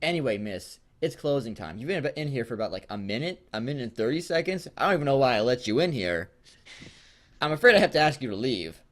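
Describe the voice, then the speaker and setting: Guy doing an annoyed pizza place worker, fussy and rambly, pitch jumps around. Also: big pitch swings, fast talker, lightly acted. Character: exasperated male pizzeria employee closing up for the night.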